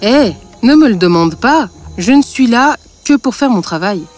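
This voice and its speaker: A woman narrating a scripted fairy tale, with a deadpan streak. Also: fairly high pitch, moderate pace, faint music behind.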